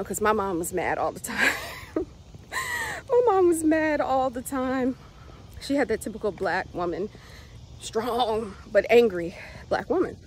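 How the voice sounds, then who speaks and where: A woman's voice: mid-range voice, a little hiss or hum. A woman, talking candidly to camera about motherhood and self-growth, laughing often. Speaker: vlogger, mother of three. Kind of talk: casual reflective monologue.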